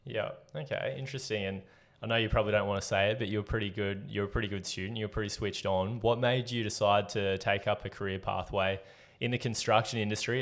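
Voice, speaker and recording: Upbeat man hosting a podcast. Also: fairly low pitch. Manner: upbeat, encouraging interviewer. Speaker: podcast host. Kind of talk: casual podcast interview.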